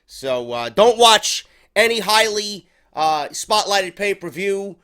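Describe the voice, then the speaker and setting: A man's voice: sits high, lively intonation, slow pace, pushed and bright, clean tone. Congested man hosting a wrestling review. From New York. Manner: profane, rambling, unscripted. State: congested head cold. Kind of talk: casual spoken show review.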